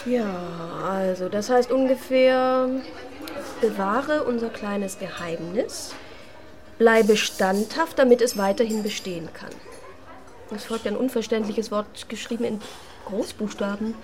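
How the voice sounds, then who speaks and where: A woman's voice: on the high side, close to an everyday voice. A woman acting in a radio drama as a careful, matter-of-fact helper translating a letter. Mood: careful, puzzled. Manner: careful, halting while reading out.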